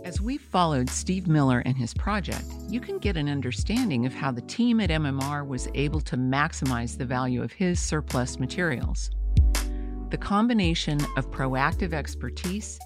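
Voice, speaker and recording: Low-pitched female narrator over music. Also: animated, moderate pace. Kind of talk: scripted promotional narration. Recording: music bed under voice.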